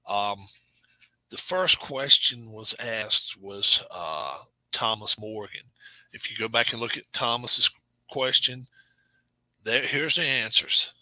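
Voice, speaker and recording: A middle-aged man hosting a casual live stream, chatting with viewers in a rambling, self-correcting way and sometimes dropping to a low murmur. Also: sharp tone, no room sound, low-quality recording. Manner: drops to a low murmur at times. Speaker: channel host.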